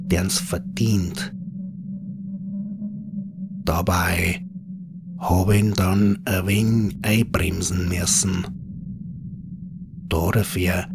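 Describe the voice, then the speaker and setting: A man with a Bavarian accent narrates a scripted horror story in dialect, in an unhurried, folksy manner. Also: sits low, gravelly, heavy background noise.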